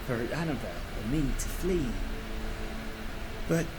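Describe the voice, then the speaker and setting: A man's voice: a little high, animated, heavy background noise. Grief-stricken young fisherman. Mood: distraught confession. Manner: anguished, halting, rustic speech. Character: grief-stricken young village fisherman, a self-described lout. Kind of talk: dramatic video game acting. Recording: music behind the voice.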